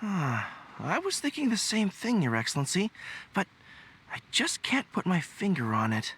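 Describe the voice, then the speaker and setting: A modest, friendly young retainer from a video game. The voice is high for a man and bright, with very animated pitch and courteous phrasing. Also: moderate pace, a little hiss or hum, exaggerated voice. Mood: puzzled.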